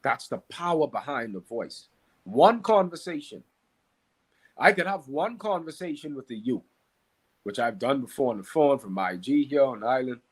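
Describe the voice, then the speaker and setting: A man from the Bahamas with a Bahamian accent and a slightly husky voice, teaching conversationally and emphatically, repeating key phrases and asking rhetorical questions. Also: mid-range voice, sing-song, moderate pace, rough recording. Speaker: podcast guest, Qigong practitioner and teacher.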